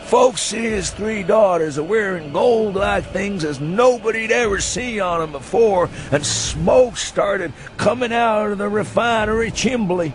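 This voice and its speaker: A man's voice: sits high, unhurried, hardly any pauses. A man voicing a drunken, hysterical old man with a rural New England accent and rustic, goading speech in a dramatised story reading. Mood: conspiratorial, rambling. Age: elderly. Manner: rustic dialect, goading questions ending in "huh?". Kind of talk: dramatised audiobook reading for radio.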